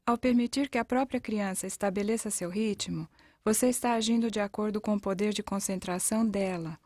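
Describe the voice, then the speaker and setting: A woman's voice: medium pitch, brisk, a little mellow, dry recording, clean studio sound. A woman with a Brazilian accent reading a scripted narration for an educational video.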